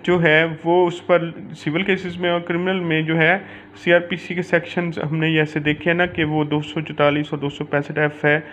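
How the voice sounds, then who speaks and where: Male law lecturer, Pakistani accent, high voice, explanatory and filler-heavy, over a noisy low-grade recording. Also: fairly bright tone. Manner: frequent verbal fillers, mixes in English legal terms. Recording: dull lo-fi audio, clear background noise.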